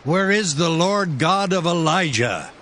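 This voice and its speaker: An actor playing an earnest, fervent male prophet in a dramatized scripture, declaiming in a drawn-out way. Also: fairly high pitch, animated, a bit bright, a little hiss or hum. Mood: anguished.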